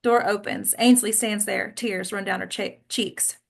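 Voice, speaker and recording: A woman's voice: mid-range voice, steady tone, measured pace, vocal fry. A woman leading an actors' table read, reading and chatting in an informal, encouraging way. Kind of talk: script reading and group discussion.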